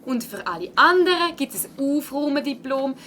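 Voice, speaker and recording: A woman playing a brisk, emphatic schoolteacher in a children's audio play, with a Swiss accent. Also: high-pitched, animated, very noisy. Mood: announcing, pleased. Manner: emphatic, announcing. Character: brisk woman schoolteacher running a class clean-up. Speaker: teacher.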